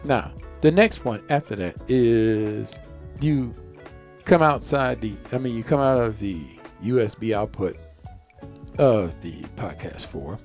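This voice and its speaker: A man's voice: fairly low pitch, expressive, slow pace, darkish tone, faint music behind. A man giving a casual step-by-step tutorial as a video creator, rambling and often repeating himself.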